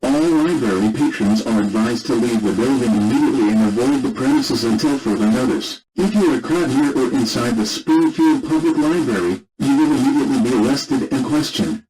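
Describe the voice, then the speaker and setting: A man's voice: medium pitch, brisk, hardly any pauses, warm tone, poor audio. A man reading a scripted broadcast announcement as a radio announcer. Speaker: radio announcer and emergency alert reader.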